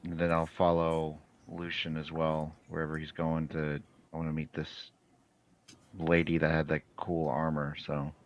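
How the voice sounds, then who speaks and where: A man's voice: very deep voice, completely flat delivery, a little slow, dull audio. A man playing a tabletop role-playing game, talking in a laid-back, easygoing way. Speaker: player. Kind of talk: casual tabletop chat.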